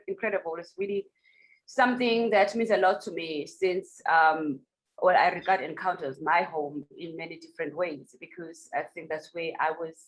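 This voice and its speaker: A South African woman talks about her work as a documentary director. She speaks in a reflective, halting way and fills her pauses with plenty of "um"s. Her voice sits at a middle pitch. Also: fairly bright tone, rough recording. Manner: reflective, with many pauses and fillers. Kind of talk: online festival Q&A.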